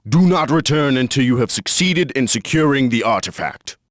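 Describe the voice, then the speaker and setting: An actor playing a stern, proud warrior guarding a temple in a video game, with a formal, commanding delivery. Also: male voice, mid-range voice, expressive, moderate pace, dry recording, lightly acted. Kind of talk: video game cutscene voice acting.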